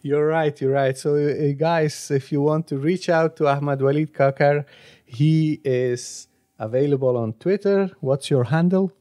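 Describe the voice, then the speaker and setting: A man's voice: on the high side, steady tone, unhurried, warm tone. A man hosting a podcast, wrapping up the episode in a casual, hesitant way with many filler sounds. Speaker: podcast host. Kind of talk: casual outro with channel plugs.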